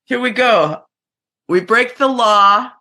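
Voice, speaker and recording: A woman's voice: on the high side, pushed and bright. A woman in her fifties co-hosting a podcast, chatting casually and laughing easily. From Upper Peninsula of Michigan. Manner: slow, laughs easily, short agreeing replies. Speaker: podcast co-host. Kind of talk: casual chat.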